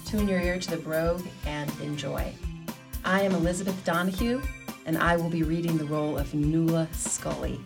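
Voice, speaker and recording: A woman presenter reads a scripted introduction to a radio play. Her voice is deep and creaky, with music playing prominently behind her. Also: fairly even intonation, normal speed. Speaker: presenter and cast member.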